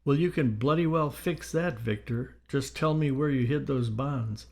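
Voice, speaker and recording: Man voicing an impatient, pushy widow, pitched up. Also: a little slow, darkish tone, lightly acted. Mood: insistent. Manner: demanding, pushy. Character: impatient, money-hungry widow at a séance.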